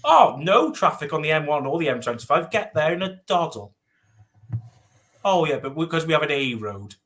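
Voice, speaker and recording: Bright-voiced male pundit, teasing. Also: a little high, moderate pace. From Wales. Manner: teasing, animated, mock-outraged. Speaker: panel pundit. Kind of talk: casual panel chat.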